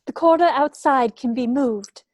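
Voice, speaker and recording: A high-pitched woman reading as a scientist in a horror script, principled and weighed down by guilt. She is defiant and earnest, as in an informal table read. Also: moderate pace. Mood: grave, urgent.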